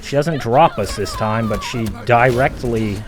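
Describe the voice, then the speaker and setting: A man's voice: medium pitch, unhurried, background noise. A man giving an unscripted, exasperated video game critique with a lot of swearing. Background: game audio.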